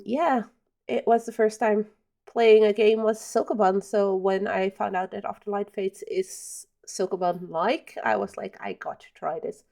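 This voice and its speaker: A woman's voice: mid-range voice, gravelly, mellow. A Dutch woman with a Dutch accent, chatting casually on a live stream and answering chat with many ums. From Netherlands.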